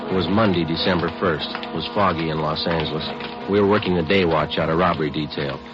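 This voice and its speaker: An American man acting a terse, businesslike detective sergeant in a 1950s radio crime drama, deadpan and clipped. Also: low-pitched, fast talker, over music, lightly acted. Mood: flat, businesslike. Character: terse, businesslike police detective sergeant narrating his cases. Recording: dull, noisy vintage radio recording.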